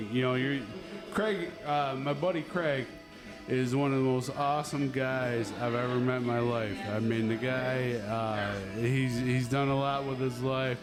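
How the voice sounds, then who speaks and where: A man's voice: medium pitch, moderate pace. A man hosting a casual podcast, rambling with lots of fillers and cutting in often, in a busy venue. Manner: rambling, many fillers, keeps interrupting. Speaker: podcast host. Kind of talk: casual chat. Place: busy venue with background chatter. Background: music and chatter.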